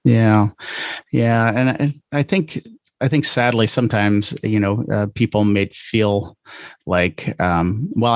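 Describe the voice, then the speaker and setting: A man's voice: fairly low pitch, no room sound, studio quality. A man hosting a podcast interview in casual chat, with a hesitant, rambling way of talking.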